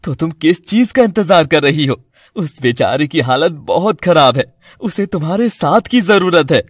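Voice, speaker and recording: Casting: concerned cartoon dad, played by a man, protective, persuasive, husky, close dry sound. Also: on the high side, animated, normal speed, no room sound. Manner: earnest, persuasive. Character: earnest, protective Indian husband and father.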